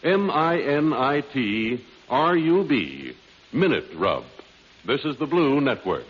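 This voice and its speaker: A man's voice: mid-range voice, big pitch swings, slow, a little hiss or hum. A man with an American accent reading scripted 1940s radio announcements and adverts in a polished, emphatic sales manner. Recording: muffled vintage radio recording.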